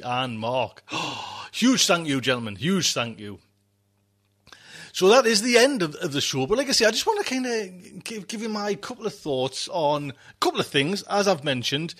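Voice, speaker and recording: A man's voice: a little high, a bit bright. A man hosting a podcast, chatting casually and off the cuff in a rambling, repetitive way. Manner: rambling, repeats himself. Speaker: podcast host. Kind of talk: casual unscripted chat.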